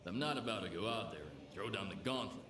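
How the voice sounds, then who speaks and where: A gruff, pragmatic barkeep from a survival video game. His delivery is lively and varied at a medium pitch, slangy and worldly. The room rings around him, with noisy ambience behind. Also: quick pace, echoey room. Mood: cautious, matter-of-fact. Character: world-weary, pragmatic male bartender in a lawless zone. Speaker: bartender character.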